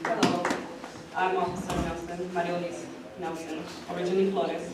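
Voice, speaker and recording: A woman's voice: on the low side, level tone, normal speed. A woman from Los Angeles, a police officer, giving an unscripted answer on a panel. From Los Angeles, California. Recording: echoey hall, distant mic, background noise.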